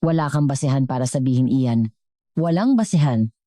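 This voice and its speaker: A woman's voice: rumbling low, expressive, moderate pace, dark tone, dry recording, studio quality. A woman as a defensive, scheming male executive. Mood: indignant denial. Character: scheming, defensive male vice president, chairman's son.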